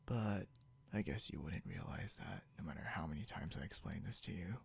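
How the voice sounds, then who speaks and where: A man playing a possessive, grudgingly caring non-human captor in an intimate ASMR roleplay, gravelly and often slipping into a whisper, muttering haltingly and condescendingly, with noise behind him. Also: low-pitched, animated, full character voice. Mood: smug, threatening. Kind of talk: ASMR roleplay, intimate second-person acting. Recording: noticeable background hiss or hum.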